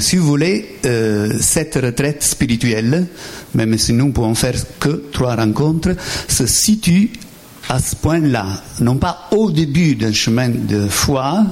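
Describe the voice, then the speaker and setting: A man with a thick Italian accent leads a spiritual retreat for lay listeners. He speaks in a halting, conversational way, full of fillers and restarts, with quick asides to the room. His voice sits in an ordinary middle pitch. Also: darkish tone. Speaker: Franciscan friar giving a retreat talk. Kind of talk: informal spiritual teaching to lay people.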